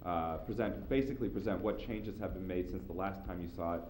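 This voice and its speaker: A man's voice: low-pitched, darkish tone, a little hiss or hum. A man on city staff introducing an item at a public meeting; he is losing his voice from a cold and stumbles over repeated words. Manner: halting, repeats words, upbeat. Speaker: city planning staff member.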